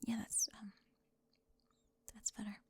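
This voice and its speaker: A woman performing a whispered ASMR roleplay, teasing and sharp-tongued, moving between whispers and soft speech into a close microphone. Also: slow pace, no echo.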